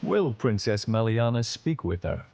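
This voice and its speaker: A man voicing a stern, courteous imperial admiral in scripted game dialogue, measured and formal. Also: on the low side, very animated, vocal fry, slight background noise, heavily acted. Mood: formal, firm. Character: stern, courteous imperial fleet admiral, fatherly and duty-bound. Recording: loud background music.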